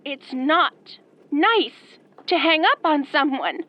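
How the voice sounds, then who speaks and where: Very high-pitched girlish killer fangirl. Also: very animated, slow pace, lo-fi sound, full character voice. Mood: pouty, indignant. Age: young adult. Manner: girlish, soft-spoken, pouty. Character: sweetly menacing young obsessed female fan turned killer. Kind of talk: ASMR horror roleplay acting.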